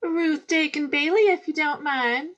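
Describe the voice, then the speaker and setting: Woman acting witty young bride, high pitch, muffled audio, amateur radio play. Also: a little slow, fairly bright tone. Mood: playful. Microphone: video call. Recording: muffled online video call audio.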